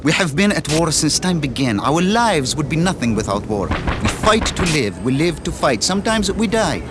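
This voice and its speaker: A solemn mountain warrior. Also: male voice, fairly high pitch, big pitch swings, moderate pace, loud music behind the voice. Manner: solemn, broken English storytelling. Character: proud male mountain fighter, serious, fatalistic. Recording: noisy game cutscene audio.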